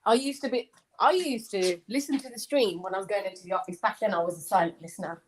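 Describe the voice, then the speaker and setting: A woman's voice: medium pitch, fast talker, slightly rough, rough recording. A woman on a live online panel, teasing and bantering casually. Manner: teasing, playful.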